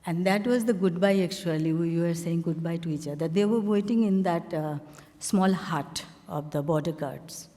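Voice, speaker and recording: A woman from Bangladesh with a Bangladeshi accent, an artist telling the story behind her slides in a halting, conversational way full of fillers. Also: lowish voice, mellow, long reverb tail. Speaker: artist presenting her work on a panel. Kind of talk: conversational talk walking through slides.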